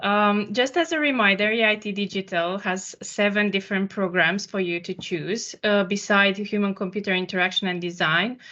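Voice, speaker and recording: A woman's voice: mid-range voice, steady tone, normal speed, few pauses, bright, forceful tone. Polite woman hosting, muffled call audio. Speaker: webinar host. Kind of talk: presenting an online information session. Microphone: video call.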